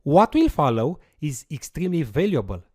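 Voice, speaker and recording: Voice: medium pitch, sing-song, moderate pace, a little mellow, breathy edge, dry recording, studio quality. A course instructor whose first language is Romanian, walking through a tutorial step by step. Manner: explanatory, walks through steps aloud with fillers. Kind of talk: screen-recorded coding tutorial.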